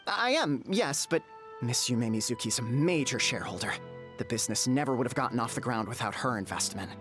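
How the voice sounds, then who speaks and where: A man's voice: mid-range voice, lively intonation, fairly quick, lightly acted. A man voicing an eager, apologetic young bathhouse owner in a video game, with a hesitant, polite manner. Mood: earnest. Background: music.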